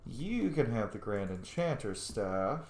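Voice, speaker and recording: A man's voice: lowish voice, big pitch swings, measured pace. A man giving casual, chatty gameplay commentary, playful and unfiltered, reacting as he plays. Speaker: gamer playing and reacting to a story game. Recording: echoey room with faint noise.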